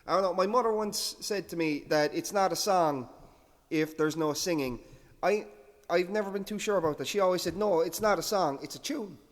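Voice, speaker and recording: Male host, relaxed and rambling, a bit high-pitched, long reverb on the voice. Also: moderate pace. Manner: laid-back, self-deprecating, rambling with restarts. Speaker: radio-style show host. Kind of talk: casual rambling show patter.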